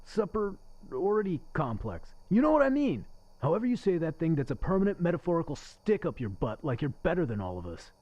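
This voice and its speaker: An actor playing a crude, bitter but lonely restaurant server in a radio play, with sarcastic, needling delivery. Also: male voice, on the high side, very animated, fairly quick, darkish tone. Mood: bitter, agitated.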